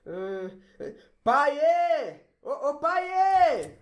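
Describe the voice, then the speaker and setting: Woman playing a whiny, very animated schoolboy. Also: sits high, extremely slow, full character voice. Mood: alarmed. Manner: stammering, exasperated. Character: whiny, sulky schoolboy who hates science, easily flustered. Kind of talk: amateur audio drama acting.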